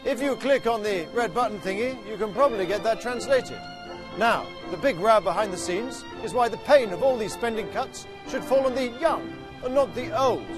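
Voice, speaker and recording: A man narrating a mock public information film in a cheery, deadpan satirical manner. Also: sits high, moderate pace. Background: music.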